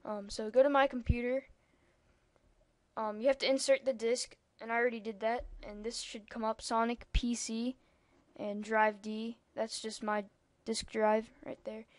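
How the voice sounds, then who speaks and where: Young-sounding girl with a high voice. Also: little pitch movement, normal speed, no echo. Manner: rambling, many ums, chatty asides. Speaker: tutorial maker. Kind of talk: casual screen-recording tutorial.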